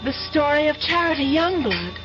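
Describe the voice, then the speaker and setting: An actress playing an anxious, obsessed young college student in an old-time radio drama, speaking in a halting, intense, earnest way. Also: female voice, high voice, sing-song. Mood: troubled, obsessed. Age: young adult. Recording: muffled old radio recording with music.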